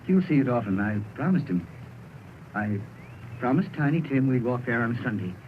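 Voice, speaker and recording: An actor playing a heartbroken poor clerk and father in an old radio play, with a hushed, broken delivery. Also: mid-range voice, expressive, moderate pace, natural voice. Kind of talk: 1930s radio drama acting. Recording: tinny old radio broadcast with hiss.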